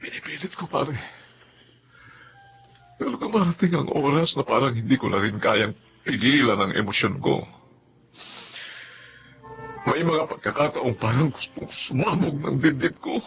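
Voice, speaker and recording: A man's voice: mid-range voice, lively intonation, fairly bright tone, slight background noise. An actor playing a burdened, grieving father, speaking emotionally and heavily in radio melodrama style. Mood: weary grief. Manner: heavy, emotional, halting delivery. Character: grieving father, burdened, pleading with his wife to accept loss. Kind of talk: Filipino radio melodrama acting.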